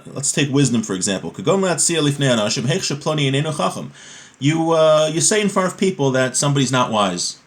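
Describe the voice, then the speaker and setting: A man's voice: fairly high pitch, big pitch swings, fast talker. A man giving an informal religious lesson, reading a text aloud and explaining it step by step, often checking that listeners follow. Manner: explanatory, checks understanding with rhetorical tags like "right?".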